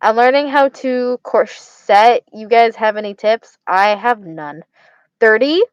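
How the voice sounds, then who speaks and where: A woman's voice: a little high, takes it slowly, smooth, no echo, muffled recording. Stuttering young woman with an Arizona accent. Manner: stutters, slurs words, repeats herself. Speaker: livestreamer chatting with viewers. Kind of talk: casual chat.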